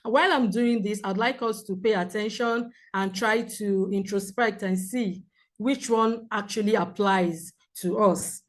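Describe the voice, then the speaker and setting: A woman counsellor with a loud voice, teaching a group in a clear, measured way with examples. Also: mid-range voice. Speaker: premarital counsellor. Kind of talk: teaching a seminar talk.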